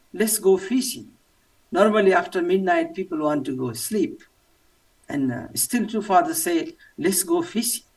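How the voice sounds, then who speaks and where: A man from Nepal with a Nepali accent, giving a personal testimony in halting English with many fillers. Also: sits high, recorded from a distance, poor audio. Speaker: church member giving testimony.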